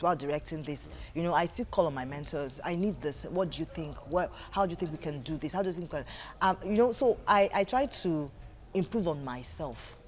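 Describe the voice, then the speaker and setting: A woman's voice: low voice, darkish tone. Nigerian woman, earnest, with some background noise. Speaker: interview guest, stage play director. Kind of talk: conversational TV interview.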